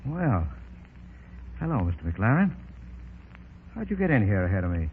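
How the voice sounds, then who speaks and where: A man's voice: on the low side, big pitch swings, normal speed. An actor playing a tough, cocky undercover ex-policeman in a 1930s radio drama, with clipped, taunting talk. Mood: confiding. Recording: noisy muffled 1930s radio recording.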